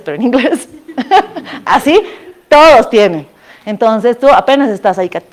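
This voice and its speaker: A young woman from Bolivia with a Bolivian Spanish accent, telling anecdotes to an audience in a casual, animated way, laughing often and asking listeners to agree with her. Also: mid-range voice. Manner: animated, laughs often, addresses listeners directly with tag questions. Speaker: former scholarship student giving a talk. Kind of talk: casual storytelling talk to an audience.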